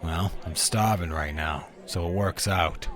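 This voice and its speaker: A man voices a gruff male mutant turtle from a comic dub. The voice is extremely deep. He talks bluntly and grumpily. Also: unhurried, a bit bright. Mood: gruff, teasing.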